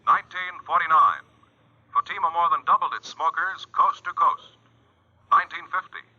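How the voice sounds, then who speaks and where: A male announcer with a fairly high, raspy voice reads headline-style 1950s radio ad copy. The sound is dull and lo-fi. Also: moderate pace. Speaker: advertisement announcer. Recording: muffled vintage radio recording.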